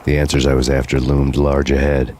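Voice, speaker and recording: An actor playing a hard-boiled, world-weary vigilante who narrates his story in a deadpan, sardonic noir style. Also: rumbling low, a little fast, slight background noise. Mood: brooding, wry. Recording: music behind the voice.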